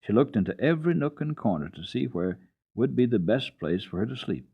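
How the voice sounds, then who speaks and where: A man giving an expressive, dramatic radio reading of a children's classic, with deliberate phrasing. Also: mid-range voice, creaky, slightly rough. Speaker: radio storyteller. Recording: dull lo-fi old radio recording.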